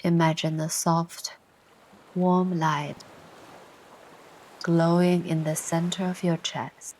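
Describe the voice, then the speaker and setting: A woman leads a guided meditation in a low, even voice. Her delivery is very slow and gentle, with long pauses between phrases. Soft ocean surf sounds sit behind her words. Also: slight background noise. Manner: soothing, gentle, unhurried instruction with long pauses. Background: ocean waves ambience.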